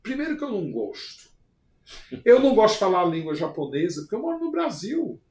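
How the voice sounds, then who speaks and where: A man's voice: sits high, huge pitch swings, quick pace, a little mellow. A man retelling a defiant grateful woman client. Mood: emphatic. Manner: emphatic retelling in first person. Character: Brazilian woman client, grateful, now in charge at home. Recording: roomy echo.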